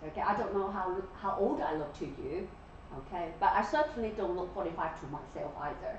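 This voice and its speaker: A woman's voice: lowish voice, expressive, brisk, a little hiss or hum. A woman in her forties giving an interactive classroom lecture and often checking in with "okay?" and "yeah?". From Malaysia. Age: 40s. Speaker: guest lecturer. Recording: echoey classroom from far off, dull.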